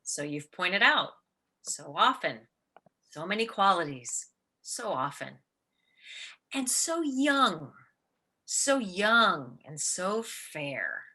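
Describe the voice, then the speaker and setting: An actress playing a jealous, imperious ageing stage star in a radio play, with a biting, theatrical sarcasm. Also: female voice, on the low side, big pitch swings, slow, pauses often, sharp tone, full character voice. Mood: needling.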